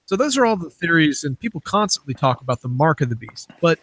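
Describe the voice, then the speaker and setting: A man's voice: fairly high pitch, big pitch swings, fast, a bit bright, no echo. A man hosting an interview, talking casually and conversationally as he sets out questions and ideas. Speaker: interviewer, podcast host.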